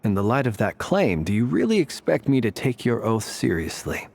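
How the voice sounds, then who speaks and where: A man playing a wry, easygoing warrior who guards a lighthouse in a video game, speaking in a measured way. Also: mid-range voice, big pitch swings, creaky, a little mellow.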